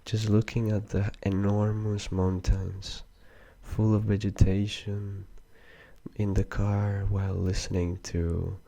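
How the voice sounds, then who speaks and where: Soft-spoken deep Peruvian man, gravelly. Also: little pitch movement, slow. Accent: Peruvian Spanish, mixed with Mexican and Argentinian. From Peru. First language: Spanish. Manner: soft-spoken, hesitant with many fillers. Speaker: interview guest. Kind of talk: soft-spoken casual interview.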